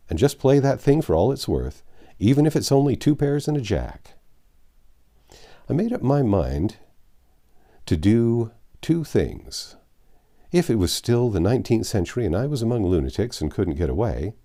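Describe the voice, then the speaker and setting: A man reading a classic novel aloud as an expressive storybook narrator. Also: fairly low pitch, normal speed. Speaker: audiobook narrator.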